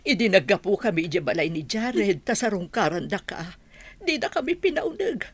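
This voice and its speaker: A woman's voice: mid-range voice, very animated, moderate pace, husky, no room sound. A woman acting as an earnest, concerned middle-aged mother in a radio drama. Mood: regretful.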